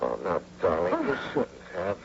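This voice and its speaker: An actor playing a nervous, henpecked husband turned guilty robber in a radio comedy-drama, stammering and evasive. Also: male voice, low-pitched, huge pitch swings, normal speed, gravelly, full character voice. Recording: dull, noisy old radio recording.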